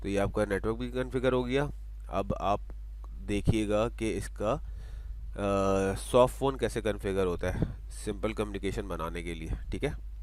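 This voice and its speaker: Low-pitched man presenting a software tutorial. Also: steady tone, measured pace, slight background noise. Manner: code-switches into English technical terms. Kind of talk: casual software tutorial narration, talking through steps. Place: voice-over for screen recording.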